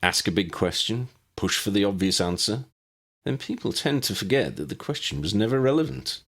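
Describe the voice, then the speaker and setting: A man voicing a courteous, bookish man who speaks in a formal, measured and wordy way in an audiobook story. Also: lowish voice, animated, fairly bright tone, voice pushed up. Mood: measured, apologetic.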